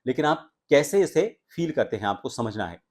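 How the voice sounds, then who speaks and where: एक आदमी जो आवाज़ सुधारने का पाठ पढ़ाता है, हमिंग की आवाज़ें करके दिखाता है और हिंदी लहजे में बोलता है।. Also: male voice, medium pitch, sing-song, moderate pace, darkish tone. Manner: explanatory, demonstrates humming sounds. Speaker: voice coach and anchor. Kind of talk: voice training lesson.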